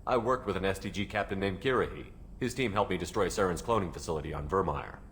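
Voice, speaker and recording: An actor playing a composed starship commander in a video game, direct and controlled. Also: male voice, sits low, quick pace, a bit bright, a little hiss or hum. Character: composed male starship commander questioning his crew. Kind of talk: video game character acting.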